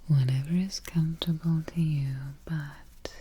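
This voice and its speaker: A woman whispering softly and breathily in a gentle, soothing manner, guiding a sleep relaxation as an ASMR host up close to the microphone. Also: low voice, fairly even intonation, husky. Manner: slow, gentle, soothing, repeats soft check-ins.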